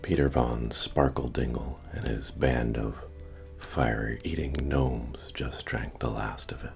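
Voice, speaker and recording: A man playing a very deep-voiced, slow tavern keeper. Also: steady tone, full character voice. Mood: teasing, wry. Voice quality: deep, gravelly, breathy murmur. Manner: unhurried, folksy, gently joking, hesitant asides. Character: friendly, wry medieval fantasy tavern keeper. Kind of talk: soft-spoken ASMR roleplay. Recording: soft music playing behind the voice. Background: bard-style music.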